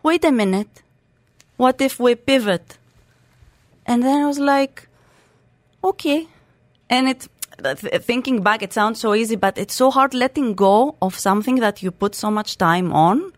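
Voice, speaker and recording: A woman in her thirties from Crete talks as a studio guest. She is chatty and rambling, full of fillers and restarts. Her voice sits high, and the room is dry and close. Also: measured pace, pauses often, no echo. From Greece (Crete). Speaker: guest, startup co-founder. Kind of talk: studio interview. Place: radio studio.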